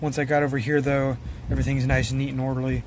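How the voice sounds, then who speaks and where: A man's voice: mid-range voice, fast talker. A man giving casual hands-on repair commentary in an informal, self-deprecating way. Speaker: hobbyist electronics repairer. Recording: clear steady background noise.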